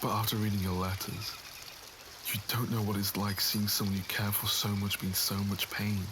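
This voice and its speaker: A man's voice: lowish voice, flat delivery, moderate pace, natural voice. A man playing an earnest, lovesick nobleman in an ASMR roleplay, with formal, wounded phrasing. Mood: tender confession. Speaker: voice actor in an audio roleplay. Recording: audible water and outdoor ambience noise.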